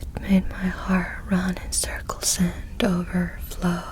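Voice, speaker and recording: A woman doing ASMR, softly singing and whispering song lyrics in a breathy, intimate way. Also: on the low side, completely flat delivery, takes it slowly. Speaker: ASMR performer.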